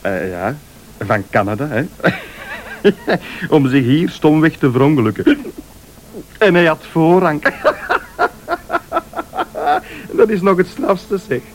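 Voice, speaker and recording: An actor playing a jovial, tipsy late-night visitor who rambles and exclaims in a radio thriller. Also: male voice, on the high side, animated, a little slow, pauses often, poor audio. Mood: blithe, chatty.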